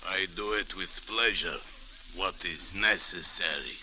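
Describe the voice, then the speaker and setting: An actor playing a gruff, violent foreign henchman in old radio drama, speaking blunt broken English. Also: sits low, measured pace, sharp tone, heavily acted, poor audio. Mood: menacing. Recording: hissy old-time radio recording.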